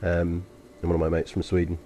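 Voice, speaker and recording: A man's voice: sits low, monotone, a little fast, warm tone, faint music behind. A man with a London accent chatting casually while streaming a strategy game, rambling with frequent ums and uhs. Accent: London English. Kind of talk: casual gameplay commentary to stream chat.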